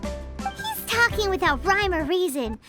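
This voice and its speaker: A woman voicing a tiny, cheeky, excitable childlike fairy companion in a video game, squeaky and playful. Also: a little slow, exaggerated voice. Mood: gleeful. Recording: loud tinkling music behind the voice.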